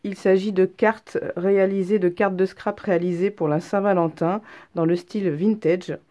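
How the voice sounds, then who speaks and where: A woman's voice: mid-range voice, fairly even intonation, moderate pace, mellow, dull audio. A woman with a head cold, presenting handmade cards in a chatty, hesitant way full of ums. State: has a head cold, stuffy. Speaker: craft vlogger. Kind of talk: casual craft show-and-tell.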